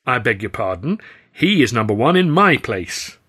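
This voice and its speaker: A man's voice: mid-range voice, sing-song, moderate pace, pushed and bright, husky, heavily acted. A man voicing a brooding, resentful young comedian, sardonic and insistent, in an audiobook. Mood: bitter, insistent.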